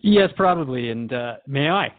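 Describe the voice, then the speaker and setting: A courteous male radio host played by a man conducts an interview in an audio drama. His medium-pitched voice is conversational and inquisitive. The sound is very dry and slightly muffled, like lo-fi audio. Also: lively intonation, moderate pace, no echo, close to an everyday voice. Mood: inquisitive, agreeable.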